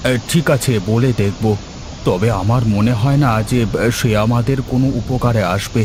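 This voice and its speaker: A man's voice: medium pitch, moderate pace, noisy background, natural voice. A man playing a poor, anxious village husband in a cartoon moral story, hesitant and wary as he talks to his wife. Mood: doubtful, resigned.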